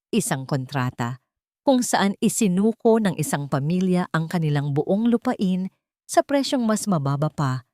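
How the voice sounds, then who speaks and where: Woman storyteller, low voice, really dry close sound, measured dramatic reading with suspense. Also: a little mellow, no echo. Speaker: story narrator. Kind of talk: dramatic scripted narration of a romantic story.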